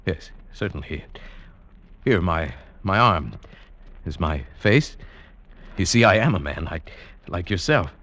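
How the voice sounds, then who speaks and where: A man's voice: low voice, animated, slow, long pauses, a bit bright, dull audio, exaggerated voice. An actor playing an eager, self-assured mountaineer telling his adventure in theatrical vintage radio drama style. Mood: reassuring. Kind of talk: vintage radio drama acting, narration and dialogue. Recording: vintage radio broadcast with music behind.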